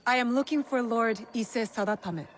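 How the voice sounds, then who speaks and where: A woman's voice: fairly high pitch, normal speed, noisy background, lightly acted. An actress playing a determined young female shinobi in a feudal Japanese video game, serious and terse. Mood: resolute. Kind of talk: dramatic video game acting.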